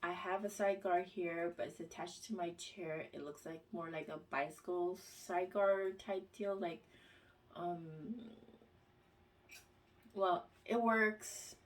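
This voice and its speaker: A woman vlogger reads questions aloud and answers them in a casual, halting way, with plenty of pauses and fillers. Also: on the low side, steady tone, distant mic. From United States.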